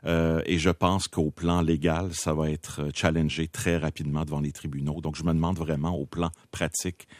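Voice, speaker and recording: Deep-voiced Québécois man, measured. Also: level tone, no room sound. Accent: Québécois French. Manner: measured, many hesitations. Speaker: political commentator. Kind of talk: panel debate.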